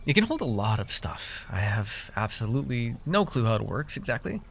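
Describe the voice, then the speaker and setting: A man's voice: medium pitch, very animated, a little fast, creaky, slight background noise. A man playing an easily flustered, teasing but caring fae forest guide in a fantasy roleplay, with exasperated banter. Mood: calm, caring. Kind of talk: immersive fantasy roleplay ASMR acting.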